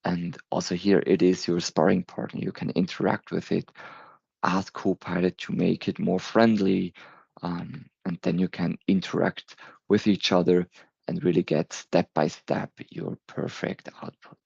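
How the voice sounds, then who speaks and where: A man's voice: low-pitched, little pitch movement, a little slow, vocal fry, a little mellow, breathy edge, dry recording, muffled recording. A man in his thirties with a German accent, giving a recorded talk, earnest and sometimes stumbling over words. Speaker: presenter.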